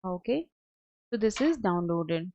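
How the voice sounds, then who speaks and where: A woman working as a software trainer, giving a step-by-step screen tutorial in a hesitant, halting way. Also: on the low side, animated, background noise. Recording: dull lo-fi close dry audio.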